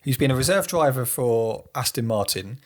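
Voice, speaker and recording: Croaky man co-hosting a podcast. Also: medium pitch, lively intonation, moderate pace. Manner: conversational, asks questions. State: croaky from a cold. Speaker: podcast co-host. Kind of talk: casual chat about racing.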